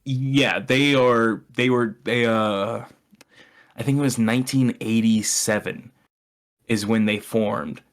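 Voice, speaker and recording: A man's voice: mid-range voice, fairly even intonation, slow. A young man chatting casually as a podcast co-host, explaining his opinions in a sardonic way and swearing freely.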